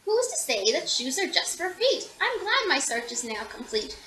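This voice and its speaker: A young woman reading a children's picture book aloud with expressive storytelling. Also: high voice, moderate pace, a little hiss or hum. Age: young adult. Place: small room indoors.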